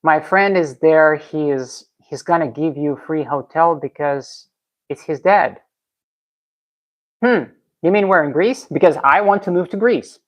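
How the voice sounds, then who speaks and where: A woman's voice: deep voice, a little slow. Russian-born woman chatting with her stream. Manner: reads out viewer comments and answers them. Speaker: live streamer. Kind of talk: casual live-stream chat. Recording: slightly dull lo-fi stream audio.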